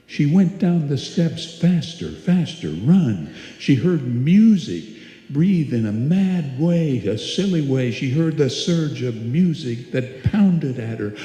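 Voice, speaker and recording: A man playing the ominous narrator of a suspense tale, deliberate and dramatic, in old-time radio style. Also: medium pitch, very animated, dark tone. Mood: urgent. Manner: deliberate, dramatic, repeating phrases. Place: live stage performance in a hall. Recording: echoey hall, long reverb.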